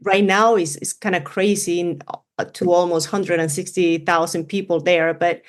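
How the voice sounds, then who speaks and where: A woman's voice: lowish voice, little pitch movement, moderate pace. A woman from Venezuela with a Spanish accent, speaking English in a casual interview, rambling with many fillers. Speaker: running influencer guest.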